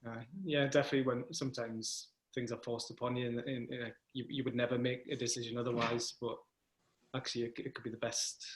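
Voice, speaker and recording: A man's voice: medium pitch, level tone, fast talker, poor audio. A man hosting a casual conversation, speaking thoughtfully, restarting phrases and checking in with "right?". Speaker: host, event organizer.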